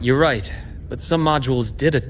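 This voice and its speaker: A young man playing a calm, observant anime game adventurer with a measured, matter-of-fact delivery. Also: mid-range voice, heavy background noise, lightly acted. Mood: thoughtful.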